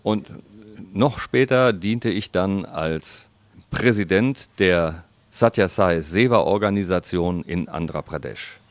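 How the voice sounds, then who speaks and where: A man with a very deep voice interprets into German, slow and deliberate. The recording is dry and close, with no room sound. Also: no room sound. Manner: slow, deliberate, careful phrasing.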